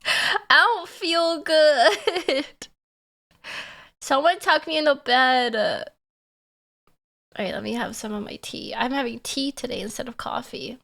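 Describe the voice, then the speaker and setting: A young woman streams live in a loose, giggly, self-teasing way. A cold leaves her voice hoarse, stuffy and full of gravelly fry, sitting a little above the usual pitch for a woman. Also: slow pace, long pauses, pushed and bright. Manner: playful and giggly, teasing herself. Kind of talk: casual live-stream chat.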